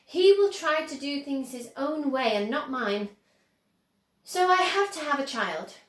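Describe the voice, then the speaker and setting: A woman's voice: high-pitched, animated, a little slow, a bit bright, echoey room, pitched above the performer's own voice. A woman voicing an eccentric, exuberant old man who owns a chocolate factory, speaking earnestly and emphatically in a storybook reading.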